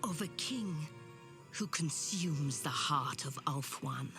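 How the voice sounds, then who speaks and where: Very low, swooping dark elf woman. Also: expressive, gravelly, heavily acted. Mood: ominous. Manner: slow, dramatic, intense. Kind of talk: dark fantasy game cutscene acting. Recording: music behind the voice. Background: music.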